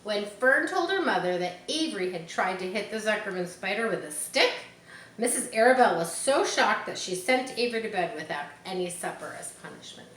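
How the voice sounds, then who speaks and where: A woman's voice: mid-range voice, lively intonation, fairly quick, a bit bright. A woman reading a children's book aloud, dropping in informal asides. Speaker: storybook reader. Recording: roomy echo, faint background noise.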